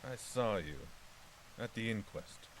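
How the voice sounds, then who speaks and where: A man's voice: lowish voice, animated, a little slow, poor audio. A man playing a laconic, wary keeper of a haunted house in an audio drama, with a folksy manner. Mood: cautious. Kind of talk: naturalistic audio drama acting. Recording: clearly hissy recording.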